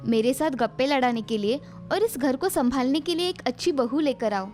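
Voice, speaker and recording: A woman's voice: high-pitched, moderate pace, a little mellow, soft background music, close to an everyday voice. A woman playing a caring, practical mother and aunt in a cartoon story. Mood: motherly.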